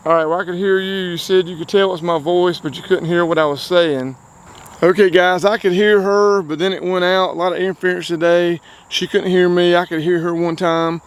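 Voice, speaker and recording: A man with a North Carolina accent hosting a casual outdoor radio test, talking in a relaxed, chatty way and explaining as he goes. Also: on the high side, clean tone. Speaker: outdoors channel host. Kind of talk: casual gear-test vlog. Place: outdoors by a car.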